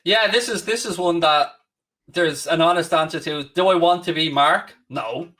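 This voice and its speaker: A Dublin Irish man in his late thirties talks in a loose, rambling way and pokes fun at himself. His voice sits a little high for a man and sounds somewhat distant from the mic. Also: normal speed, sharp tone. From Ireland. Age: late 30s. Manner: candid, rambling, self-deprecating. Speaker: podcast host. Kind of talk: casual chat.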